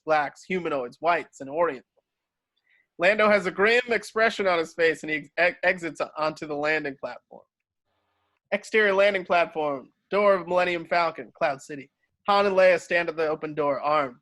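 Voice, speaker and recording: A man's voice: a little high, fairly bright tone. A man reading screenplay stage directions aloud as scripted narration.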